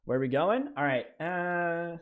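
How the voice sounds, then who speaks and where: Animated young Canadian man streaming. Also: fairly high pitch, a little slow, smooth, narrow, tinny audio. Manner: animated, stammering, talks to chat. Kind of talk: live gameplay stream chat.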